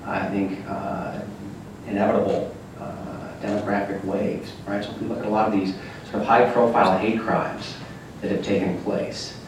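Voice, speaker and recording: A man's voice: on the low side, little pitch movement, moderate pace. A man on a university panel answering an audience question, hesitant and full of fillers, speaking into a handheld mic. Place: large echoey lecture room. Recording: echoey room from far off with noise.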